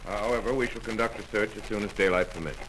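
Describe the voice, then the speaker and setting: Actor playing a calm, deep-voiced professor. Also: male voice, natural voice. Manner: measured, dry, dismissive. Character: calm skeptical middle-aged male astronomer. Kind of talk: 1930s radio drama acting. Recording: noisy old 1930s radio broadcast.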